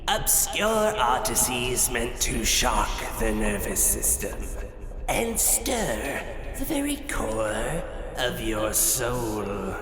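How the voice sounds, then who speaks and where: A man playing a macabre, grandiose horror anthology host, giving an ominous, ornate introduction with drawn-out delivery. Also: medium pitch, huge pitch swings, exaggerated voice. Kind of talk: theatrical scripted horror introduction. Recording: echoey long hall reverb, crowd noise.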